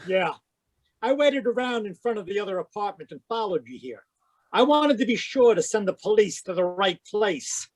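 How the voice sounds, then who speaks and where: A man's voice: high-pitched, very animated, moderate pace, darkish tone, no echo. An actor playing a dignified, indignant man past sixty in an old-time radio crime drama, with stiff, formal diction. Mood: cold, resolute. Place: performed from home on a video call.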